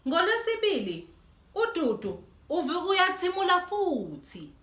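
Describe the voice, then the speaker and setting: A woman reading a children's storybook aloud in an animated way as host. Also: very high voice, moderate pace. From South Africa. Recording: echoey room.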